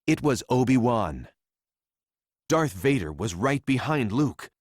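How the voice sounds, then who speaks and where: Slow, animated male storybook narrator. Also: medium pitch, no room sound, studio quality. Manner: simple, deliberate storytelling for young readers. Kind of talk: scripted children's read-along narration.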